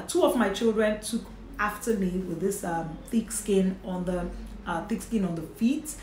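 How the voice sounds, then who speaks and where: A woman's voice: mid-range voice, darkish tone. A Nigerian woman in her thirties, talking to camera about motherhood in a chatty, earnest way with light laughs. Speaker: vlogger, mother. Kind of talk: casual talk to camera. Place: indoor home office with bookshelf and curtains. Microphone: camera in front at desk distance. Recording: echoey room, faint hiss.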